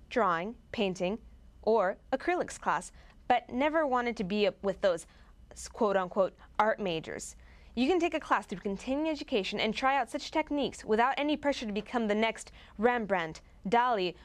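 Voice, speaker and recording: A woman presenting a scripted promotional piece in a chirpy, gushing, tongue-in-cheek manner. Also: mid-range voice.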